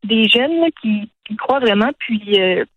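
A teenage girl with a Quebec French accent speaks as a radio interview guest, earnest and quick. Her voice sits a little high for a woman. The sound is close and dry, with a dull, muffled radio tone. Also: lively intonation, slightly rough, no room sound. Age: teens. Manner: earnest, fast-talking, frequent "là" tags.

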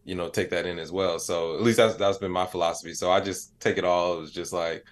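Male podcast guest, low pitch, American accent, middle-aged, casual, laid-back, conversational. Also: fairly even intonation, a little fast. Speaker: podcast guest, comedian and digital creator. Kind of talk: casual conversational interview answers.

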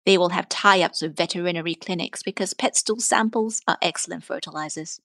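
Female reader, slightly low register, articulate and measured delivery of written prose, very little room sound. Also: gravelly, sharp tone, no echo. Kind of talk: scripted literary reading.

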